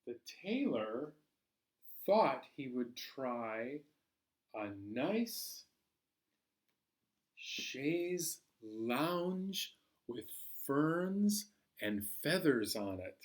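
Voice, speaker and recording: A man telling a children's story as a library storyteller, dramatically, with pauses and drawn-out words. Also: mid-range voice.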